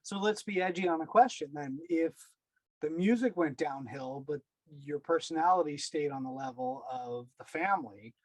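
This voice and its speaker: A man's voice: fairly high pitch, animated, moderate pace, no echo, poor audio. Easygoing male podcast host, dry sound. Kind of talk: casual interview questions.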